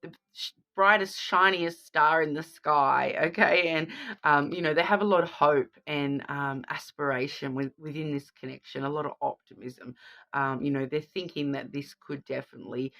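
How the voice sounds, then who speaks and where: Sniffly woman with a gravelly creak. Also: deep voice, steady tone, moderate pace, sharp tone. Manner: chatty, frequent "okay?" check-ins. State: sniffly with a cold. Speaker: tarot card reader. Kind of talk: casual card reading to viewers.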